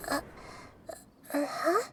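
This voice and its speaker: A woman voicing a childlike deity of wisdom in a video game, gentle, composed and formal. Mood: hesitant. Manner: measured, formal, articulate. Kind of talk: video game story acting.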